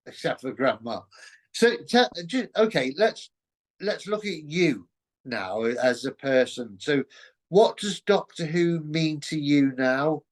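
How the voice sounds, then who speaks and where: A man hosting a casual interview, speaking haltingly and repeating words as he forms his thoughts. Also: a little high, expressive. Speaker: interviewer, show host.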